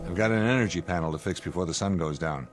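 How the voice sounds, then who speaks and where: Low-voiced, sarcastic American spaceship commander, acted by a man. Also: fast, over music, lightly acted. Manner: sarcastic, wry, needling. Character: sarcastic, irritable male spaceship commander, hard-working. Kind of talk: radio drama acting.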